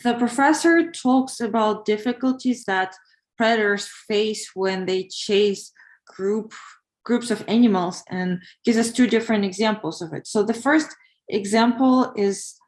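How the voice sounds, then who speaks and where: A woman's voice: medium pitch, reverberant. Woman from Ukraine, pharmacist, careful, some hesitation, practice answer. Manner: careful, measured, with hesitant fillers while answering. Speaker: pharmacist preparing for the TOEFL. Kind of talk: practice exam answer and casual chat on a livestream.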